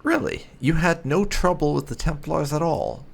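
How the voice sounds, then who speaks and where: A man hosting a game playthrough, musing deliberately as he roleplays and reads out his character's dialogue choices. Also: a little high, lively intonation, vocal fry, husky. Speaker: gaming channel host, player.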